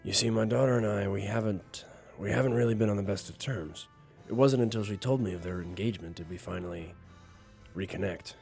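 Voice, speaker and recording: Man acting a gravelly, imperious old boss. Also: on the low side, brisk, a little mellow, soft background music. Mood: guarded. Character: stern older male nightclub-owning boss, imperious father. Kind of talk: noir radio drama dialogue.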